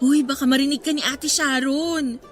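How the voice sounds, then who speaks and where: An actress playing a good-natured young college woman with a Filipino accent, gently scolding and playful, in Tagalog radio drama style. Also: high voice, steady tone, measured pace. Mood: gently defensive. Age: young adult. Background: background music.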